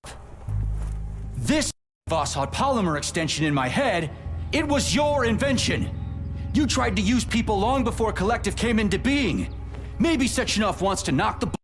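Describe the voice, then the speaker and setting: A hot-headed army major in a video game. He is brash and sarcastic, slightly high in pitch with lively swings. Music is clearly heard behind him. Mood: accusing. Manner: brash, exasperated, blunt.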